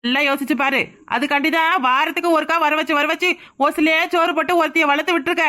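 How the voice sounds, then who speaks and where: A woman playing a sharp-tongued confidante who talks high and fast with open scorn. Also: fairly even intonation. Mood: scornful. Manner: scornful, scheming. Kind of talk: village family comedy skit.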